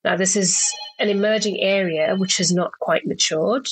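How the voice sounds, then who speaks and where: Measured, articulate woman from Zimbabwe. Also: medium pitch, breathy edge, low-quality recording. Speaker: guest, actuary and board member. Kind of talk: podcast interview answers.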